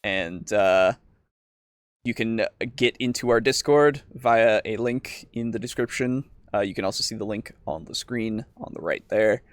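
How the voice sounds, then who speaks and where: A man's voice: on the low side, a little slow, no room sound. A man hosting a podcast, talking casually in an informal, rambling way and prone to chuckling. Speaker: podcast host. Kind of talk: casual podcast chat and show sign-off.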